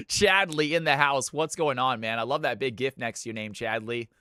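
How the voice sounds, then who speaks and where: Bright, crisp-voiced male streamer. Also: mid-range voice, moderate pace, no echo, studio quality. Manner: hype announcer bursts between easygoing chat. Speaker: streamer hosting viewer games. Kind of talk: casual live-stream chat.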